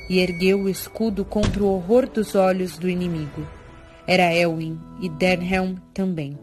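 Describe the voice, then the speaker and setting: A woman narrates a fantasy tale in a fairly low voice with a Brazilian accent. The delivery is scripted and dramatic, with prominent music underneath.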